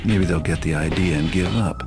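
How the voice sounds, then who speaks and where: An actor playing a wry, cynical master thief, narrating a video game cutscene in a dry, deadpan, understated way. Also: male voice, fairly quick, natural voice. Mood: wry, unbothered. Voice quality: low, gravelly. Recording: music behind the voice.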